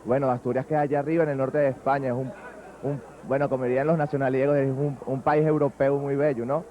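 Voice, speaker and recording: A man's voice: medium pitch, level tone, fast. A man with a Venezuelan accent answering interview questions in a chatty way, with hesitations and tag questions. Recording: dull old TV audio.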